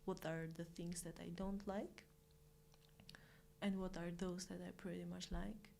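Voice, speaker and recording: A young woman from Armenia speaking English with an Armenian accent, a guest chatting casually in an interview, halting often with fillers and restarts. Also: on the low side, little pitch movement, creaky, dark tone, slight background noise.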